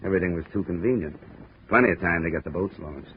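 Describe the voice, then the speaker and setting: An American actor playing a tough, wisecracking insurance investigator in a 1950s radio drama, clipped and world-weary. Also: male voice, low-pitched, fast talker. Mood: dry, brisk. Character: hard-boiled, wisecracking male insurance investigator. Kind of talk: 1950s radio detective drama acting and narration. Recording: noisy, muffled 1950s radio recording.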